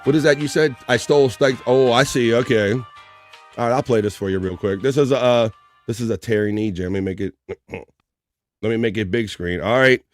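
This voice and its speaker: A man's voice: medium pitch, fairly quick, faint music behind. A man hosting a live stream, casually reading out viewer comments and joking with the audience. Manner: upbeat, joking, shouts out viewers by name. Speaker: host.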